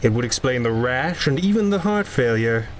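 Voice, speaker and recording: An actor playing a genteel, shrewd gentleman sleuth, polite and measured but persistent, in 1930s-style radio drama. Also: mid-range voice, animated, a little hiss or hum, natural voice. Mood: grave certainty.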